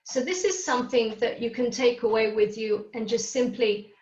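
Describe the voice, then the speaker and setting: A woman whose first language is Hungarian presents a live webinar over a video call, explaining each step and pausing to ask "okay?". Her voice sits a little high. The audio is roomy and somewhat dull. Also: a little mellow. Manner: explanatory, often checks in with "okay?".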